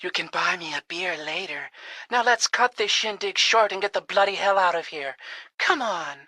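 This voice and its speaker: Tough young adventuress, brash, crisp bright voice, close dry sound, radio serial style. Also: female voice, lowish voice, normal speed, no echo. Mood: cocky. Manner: tough, cocky.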